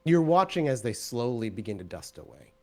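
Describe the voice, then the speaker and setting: A man's voice: medium pitch, big pitch swings, brisk, warm tone. A man narrating a tabletop roleplaying game as game master, describing scenes directly to the players.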